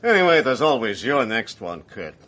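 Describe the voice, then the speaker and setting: An actor in a radio drama playing a touchy, bitter veteran film star with a grumbling, insistent, needling manner. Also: male voice, medium pitch, big pitch swings, normal speed, a bit bright. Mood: smugly insistent.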